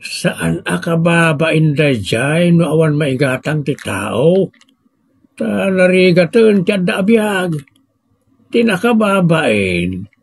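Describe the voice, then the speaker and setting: Man playing a high-voiced, husky, exasperated village husband. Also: no room sound, studio quality, full character voice. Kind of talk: Ilocano radio-style comedy drama acting.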